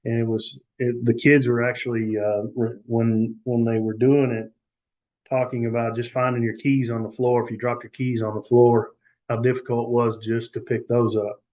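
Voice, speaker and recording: A man presenting on a webinar over a video call, halting with many fillers and restarts. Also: mid-range voice, level tone, a little mellow, rough recording. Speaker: youth prevention educator.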